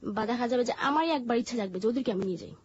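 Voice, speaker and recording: A Bangladeshi woman telling her personal story in an interview, haltingly, often repeating and rephrasing her words. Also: fairly high pitch, a little mellow, dull audio.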